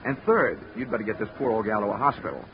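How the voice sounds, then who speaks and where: An American actor playing a wry, hard-boiled investigator with dry, deadpan wisecracks in 1940s radio drama style. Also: male voice, fairly low pitch, fast, gravelly, noisy background. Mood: firm, dry. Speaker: lead actor and first-person narrator. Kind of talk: 1940s radio detective drama acting and narration. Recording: dull 1940s radio recording with music.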